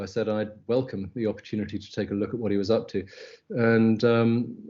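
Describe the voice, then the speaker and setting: A middle-aged Scottish man from the Highlands, a company head telling stories in a casual interview, wry, with many ums and restarts. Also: warm tone, dull audio. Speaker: agency CEO, guest. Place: South Africa, remote call.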